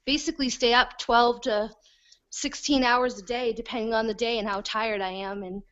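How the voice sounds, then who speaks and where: A woman talking excitedly on a call from her phone, chatty and caffeinated. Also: on the high side, moderate pace. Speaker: guest, team leader. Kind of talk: casual chat on a video call. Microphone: iPhone. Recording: dull lo-fi phone audio on a call.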